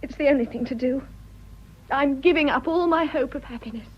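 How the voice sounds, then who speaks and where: An actress playing a torn, passionate young English wife in a radio drama, earnest and emotional, with a British accent. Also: female voice, high voice, fairly quick, close to an everyday voice. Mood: anguished. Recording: dull, muffled radio drama audio.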